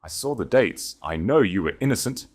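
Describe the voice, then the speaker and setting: A man's voice: on the low side, animated, normal speed, a little mellow, voice pushed up. A man voicing a grief-stricken duke who begs in a choked, pleading voice.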